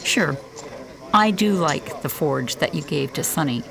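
A woman's voice: sits low, expressive, unhurried, vocal fry, a crowd in the background, full character voice. A woman playing a skeptical, principled expedition colleague in a science fiction radio drama, dry and questioning.

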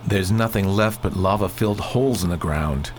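Man as a low-voiced practical spaceship crewman. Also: normal speed, a little hiss or hum, close to an everyday voice. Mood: practical, concerned. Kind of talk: space opera radio drama acting.

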